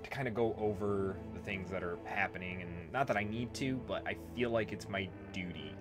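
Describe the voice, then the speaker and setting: A man gives casual let's play commentary in a low voice. He talks informally and rambles a little. Music plays clearly in the background. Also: brisk. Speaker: gaming video host.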